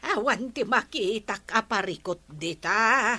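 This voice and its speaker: Slow-talking old Filipino grandmother. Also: a little high, lively intonation, sharp tone, heavily acted. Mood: surprised, protective. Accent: Filipino, Ilocano. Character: elderly village grandmother, unhurried, protective of grandson. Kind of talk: radio drama acting.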